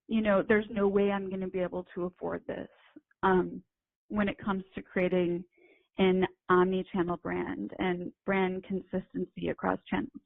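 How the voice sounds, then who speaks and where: A woman's voice: medium pitch, creaky, dry recording. Young woman explaining over a phone line. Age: young adult. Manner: measured, explanatory, with ums. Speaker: guest, retail writer and editor. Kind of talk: casual interview chat.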